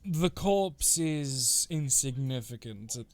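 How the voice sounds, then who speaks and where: Guy game mastering a tabletop rpg session, medium pitched voice, narrating and calling rolls. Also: lively intonation, slow, a crowd in the background. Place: online group game session.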